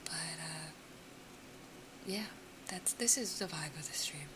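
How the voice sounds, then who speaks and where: A woman's voice: low-pitched, slow, noisy background. A young woman with an American accent whispering casually to her live stream viewers, a little sniffly, close to the mic. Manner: soft whisper throughout, chatty, laughs often. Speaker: ASMR streamer chatting with viewers.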